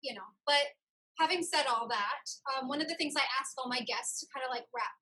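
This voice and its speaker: Woman hosting a chat show, pretty high bright voice, thinks out loud and rewords stuff as she goes. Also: fairly quick, poor audio. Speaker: interview show host. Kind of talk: casual reflective conversation.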